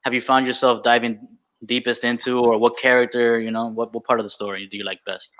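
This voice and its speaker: A man's voice: mid-range voice, level tone, fairly quick, fairly bright tone. A man co-hosting a podcast, asking casual, conversational interview questions. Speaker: podcast co-host.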